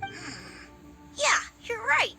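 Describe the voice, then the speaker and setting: A woman's voice: extremely high pitch, animated, takes it slowly, vocal fry, sharp tone, faint music behind, exaggerated voice. An actress voicing a small, earnest little girl in a video game, childlike, blunt and a bit hesitant. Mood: blunt, shy.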